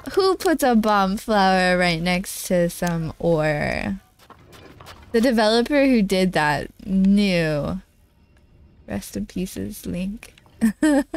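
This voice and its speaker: A woman's voice: medium pitch, a little hiss or hum. Giggly congested woman streaming games. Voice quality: nasal, congested. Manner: giggly, bubbly, chatting with viewers. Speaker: game streamer. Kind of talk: casual live-stream chat while gaming.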